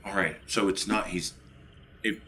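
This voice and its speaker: A man's voice: low voice, fairly even intonation, unhurried, pushed and bright, a little hiss or hum. A man playing a tabletop roleplaying game, chatting casually as he plans in character.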